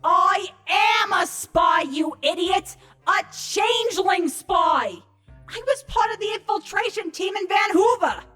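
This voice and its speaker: A woman voicing a young changeling spy, cocky, excitable and defensive, pleading her case in a comic fantasy audio drama. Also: very high voice, slow pace, faint music behind, exaggerated voice. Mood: indignant, desperate. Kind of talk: dramatic comedy fan fiction reading.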